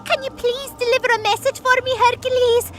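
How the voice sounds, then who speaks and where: A woman's voice: very high voice, fairly even intonation, fairly quick, loud music behind the voice. An actress playing an earnest, tender-hearted young woman in an audio drama, emotional and pleading as she calls out. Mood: tearful pleading.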